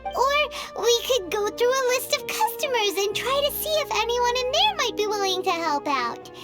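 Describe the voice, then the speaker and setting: A woman's voice: expressive, moderate pace, loud music behind the voice. A woman voicing a small, chirpy female fairy companion who speaks of herself in the third person, in a game cutscene. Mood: thinking. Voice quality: squeaky childlike voice.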